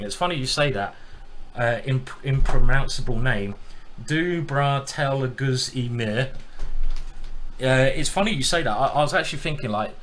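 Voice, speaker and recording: A man's voice: mid-range voice, slight background noise. A man giving casual, jokey live-stream commentary on his own chess game, greeting viewers as he thinks aloud.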